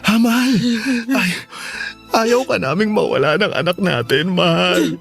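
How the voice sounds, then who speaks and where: A man's voice: high-pitched, animated, measured pace, gravelly, fairly bright tone, soft background music, full character voice. A man acting a devoted, distraught husband and father in a Filipino radio drama, pleading emotionally and stammering. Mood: desperate, insistent. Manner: emotional, stammering, repeats himself.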